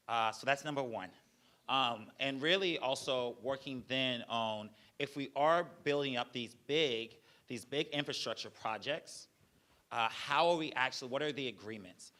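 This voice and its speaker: A man's voice: mid-range voice, bright, forceful tone. A young man running for Congress, answering audience questions at a forum in a loose way, with many fillers and restarts. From Georgia. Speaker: congressional candidate.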